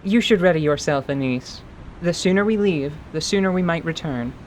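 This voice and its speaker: A man's voice: moderate pace, slight background noise, natural voice. A man voicing a confident warrior woman who leads adventurers in a radio play, commanding and dryly teasing. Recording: rumbling background ambience.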